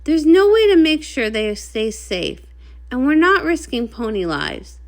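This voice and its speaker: Woman acting as female pony captain, commanding, brusque, no-nonsense, skeptical, animated pitch, storybook character. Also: unhurried, full character voice. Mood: stern.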